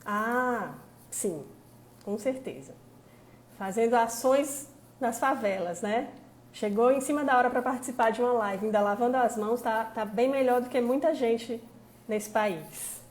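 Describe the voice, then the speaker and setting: A woman's voice: fairly high pitch, unhurried. A woman from Fortaleza with a Northeastern Brazilian accent, hosting a casual live stream on her phone with many chatty asides. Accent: Northeastern Brazilian (Ceará). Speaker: host, labor prosecutor.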